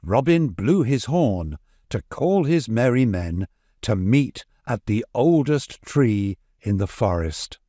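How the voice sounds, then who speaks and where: A man reads a bedtime story for children in a medium-pitched voice. He takes his time and swings his intonation widely to keep the tale expressive. The sound is dry, with very little room to it. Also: takes it slowly, no room sound.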